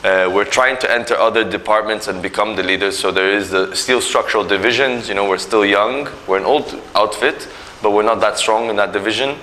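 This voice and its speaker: A man's voice: lowish voice, fairly even intonation, brisk, pushed and bright, a little hiss or hum, low-quality recording. A man from Nigeria, a company executive speaking earnestly in an interview, with many fillers and restarts. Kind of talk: corporate interview talk.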